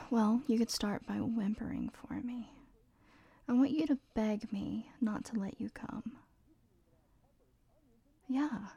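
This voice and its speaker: A young adult woman whispering a slow, teasing, commanding audio roleplay close to the mic, with soft laughs between lines. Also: on the high side, creaky, slightly rough, no room sound. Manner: mostly whispered.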